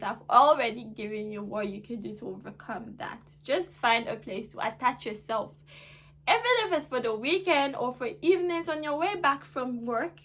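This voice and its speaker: A young Ghanaian woman giving earnest, emphatic advice to camera in a vlog. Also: fairly high pitch. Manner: earnest, animated, rising to emphatic exhortation. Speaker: vlogger.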